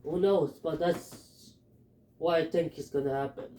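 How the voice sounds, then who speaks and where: A man with a Filipino accent talking through his fan theories in a halting, hesitant way, with many fillers. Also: fairly high pitch, fairly even intonation, dark tone, echoey room, a little hiss or hum. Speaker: fan commentator. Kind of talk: casual theory talk.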